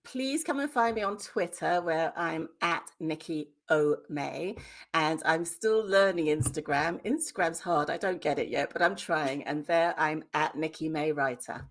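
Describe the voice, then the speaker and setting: A woman with a low-pitched British voice talks candidly as an interview guest. She is emphatic and conversational, handing out advice in plain, slightly sweary language. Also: measured pace. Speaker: debut novelist, podcast guest. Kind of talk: conversational interview answers, giving writing advice.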